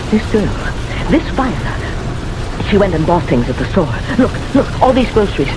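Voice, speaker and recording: Casting: actress as lonely, distraught old woman for vintage radio play; expressive, repetitive, insistent delivery over background music. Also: medium pitch, natural voice, rough recording. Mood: anxious, insistent. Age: older. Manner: halting, agitated, repeats herself. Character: distraught, confused lonely old lady insisting on her story. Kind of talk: old-time radio drama acting.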